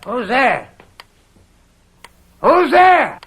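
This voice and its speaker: An actor playing a scheming, controlling older farm uncle with drawn-out, falsely kindly wheedling in an old radio mystery drama. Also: male voice, very high-pitched, very animated, fairly bright tone, husky, full character voice. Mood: alarmed.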